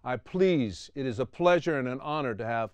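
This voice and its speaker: A man hosts an interview show and speaks with eager, effusive warmth toward his guest. His voice is smooth and resonant at a middle pitch. The recording is close and dry. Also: expressive, moderate pace, no room sound. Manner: enthusiastic, effusive, backchannels often.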